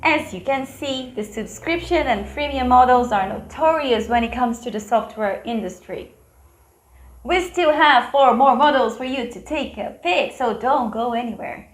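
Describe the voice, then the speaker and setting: Woman with a Brazilian accent, bright-pitched explainer voice, chatty asides, roomy echo; for a lesson read. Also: normal speed. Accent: Brazilian Portuguese. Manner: playful, chatty asides to the viewer. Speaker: business educator.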